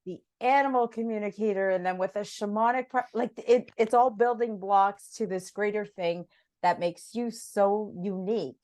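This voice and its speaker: A woman's voice: medium pitch, normal speed, a little mellow. Enthusiastic woman hosting a podcast. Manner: enthusiastic, effusive. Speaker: podcast host. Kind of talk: chatty interview hosting.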